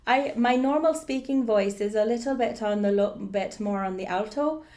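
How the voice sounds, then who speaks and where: A woman putting on an Irish accent as she gives an informal lesson on accents, explaining haltingly and thinking aloud as she coaches. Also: fairly high pitch, a little mellow. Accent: put-on Irish. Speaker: accent coach giving a demonstration.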